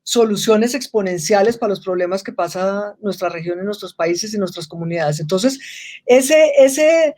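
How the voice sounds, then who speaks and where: A woman's voice: medium pitch, lively intonation, moderate pace, a little mellow. A Colombian woman speaking as a webinar guest in a casual, reflective way, often restarting phrases and ending them with tag questions. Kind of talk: casual interview conversation.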